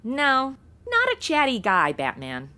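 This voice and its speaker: Actress as snarky slangy young woman in radio comedy, bright and extremely animated. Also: sits high, slow, heavily acted. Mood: sarcastic, exasperated. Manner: sarcastic, flippant. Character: snarky modern young woman stuck in old radio shows. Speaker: star of an audio comedy. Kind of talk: comic audio drama, modern slangy banter.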